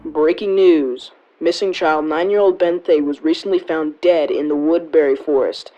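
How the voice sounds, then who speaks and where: A man's voice: fairly high pitch, steady tone, moderate pace, mellow, dull audio, faint music behind, lightly acted. A man playing a formal news anchor, reading a scripted breaking-news bulletin. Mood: formal, matter-of-fact. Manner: formal newsreading.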